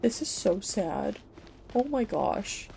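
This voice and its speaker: A woman's voice: mid-range voice, very animated, takes it slowly, gravelly, mellow, slight background noise. A woman streamer giving casual reactions while playing a game.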